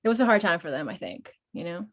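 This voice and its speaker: A woman's voice: fairly low pitch, sing-song, fast, fairly bright tone. Peru-born woman veteran, hesitant speech. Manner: hesitant, many fillers, speaks openly and personally. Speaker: guest, Marine veteran and nonprofit founder. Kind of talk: conversational podcast interview.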